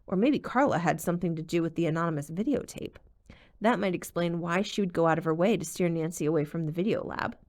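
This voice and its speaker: Lower-pitched woman reading a novel aloud. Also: quick pace. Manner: expressive storytelling. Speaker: audiobook reader. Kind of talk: audiobook narration.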